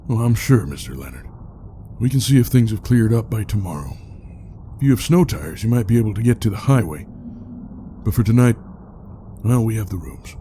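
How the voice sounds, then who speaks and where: An actor playing a gruff groundskeeper in an audio drama, plain-spoken, unbothered and quietly insistent. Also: male voice, lowish voice, animated, a little fast, pauses often, a little hiss or hum, natural voice. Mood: calm, firm.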